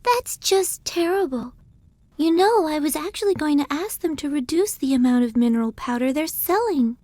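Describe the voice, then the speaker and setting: A woman playing a gentle, selfless teenage village girl in a video game dub, sincere, soft-hearted and apologetic in manner. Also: high-pitched, expressive, moderate pace, no room sound. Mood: concerned.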